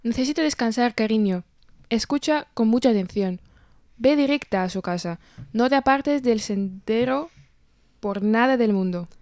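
Fairy-tale mother female actor: caring, cautionary, slightly high pitch, very dry recording. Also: animated, normal speed, no room sound. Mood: grave, caring. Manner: gentle but firm, solemn. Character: caring, cautious mother warning her daughter. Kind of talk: audio fairy-tale drama acting.

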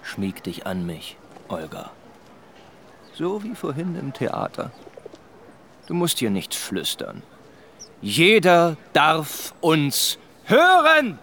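Slow, ironic, seductive gentleman. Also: fairly high pitch, huge pitch swings, a little hiss or hum, heavily acted. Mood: seductive, confident. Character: self-assured, ironic, seductive gentleman recounting his affair. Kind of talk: German radio play acting and first-person narration.

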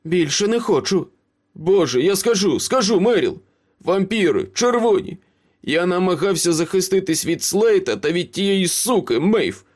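A man's voice: high voice, big pitch swings, moderate pace, pitched above the performer's own voice. A man voicing a wounded, cowardly young male traitor in a storybook, pleading and protesting breathlessly. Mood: panicked pleading. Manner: frantic, gasping pleas and protests.

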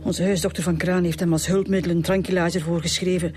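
A woman's voice: fairly low pitch, little pitch movement, quick pace, darkish tone, soft background music, natural voice. Actress as a grieving Flemish mother. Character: grieving, earnest mother pleading for her son. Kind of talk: radio drama acting.

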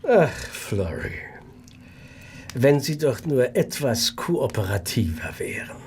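An actor playing a predatory doctor in a radio play, speaking in a condescending, insinuating manner. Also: male voice, mid-range voice, big pitch swings, takes it slowly, creaky, darkish tone, slight background noise, exaggerated voice. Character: smooth, predatory, menacing male family doctor.

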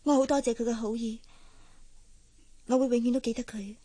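An actress playing a gentle, resigned young woman in a Cantonese radio drama, hesitant and soft-spoken, with a Hong Kong accent. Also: a little high, steady tone, fairly quick, long reverb tail, lightly acted. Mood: hesitant, sad. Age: young adult.

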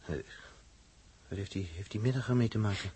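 An actor playing a suspicious, grumbling man with a husky voice and gruff, halting delivery, in 1960s Dutch radio drama style. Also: lowish voice, dark tone. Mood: wary, grumbling. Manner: gruff, hesitant, repeating himself.

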